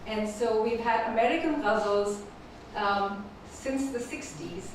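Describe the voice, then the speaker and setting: A woman whose first language is Urdu, reading her poems slowly and repeating each first line at a live poetry event. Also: on the high side, a little hiss or hum. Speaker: featured poet. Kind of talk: poetry reading with spoken introductions. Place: performing arts venue. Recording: echoey hall from far off.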